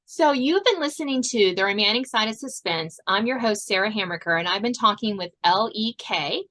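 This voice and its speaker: A woman's voice: medium pitch, animated, fairly quick, a bit bright. A woman hosting a podcast interview with an author, speaking conversationally. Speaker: podcast host.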